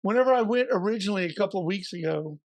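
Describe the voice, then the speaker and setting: A man co-hosting a podcast, slightly hoarse and raspy, telling a story in a chatty way with joking asides. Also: high-pitched, animated, rapid-fire, dry recording. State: a little hoarse. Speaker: podcast co-host. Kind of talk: casual podcast chat.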